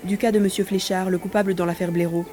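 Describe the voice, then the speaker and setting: A woman's voice: medium pitch, little pitch movement, fast talker, mellow, soft background music, natural voice. An actress playing a devoted, hopeful lady in love in a French audio drama, tender, formal and sentimental. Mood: hopeful, grateful.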